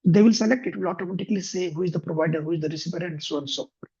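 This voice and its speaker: Man, husky voice, software tutorial, thinking aloud, muffled mic. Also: sits high, rapid-fire. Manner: thinks aloud with restarts while clicking through screens. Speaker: software instructor. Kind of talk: screen-share software tutorial.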